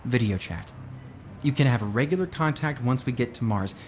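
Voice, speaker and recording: A man's voice: mid-range voice, animated, moderate pace, soft background music, natural voice. A man playing a confident, glib billionaire space colony founder in a naturalistic audio drama, talking in a casual, assured, persuasive way. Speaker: voice actor in a musical audio drama.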